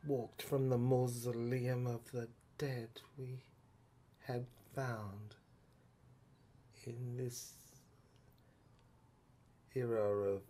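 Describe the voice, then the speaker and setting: A man narrates an audio drama very slowly, in a brooding, poetic style. His phrasing is halting, broken into short pieces with pauses between them, at a middling pitch. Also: level tone, dark tone, a little hiss or hum.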